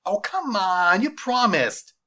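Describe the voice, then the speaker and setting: A man acts out a curious schoolboy in an audio storybook. He is giddy and eager, with a bright voice that swings around in pitch. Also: big pitch swings, takes it slowly, heavily acted. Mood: pleading. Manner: pleading, giddy.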